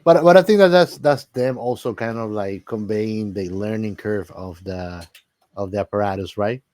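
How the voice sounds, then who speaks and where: A man hosting a casual movie discussion, haltingly thinking aloud and repeating words as he goes. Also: on the low side, a little mellow. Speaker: podcast host.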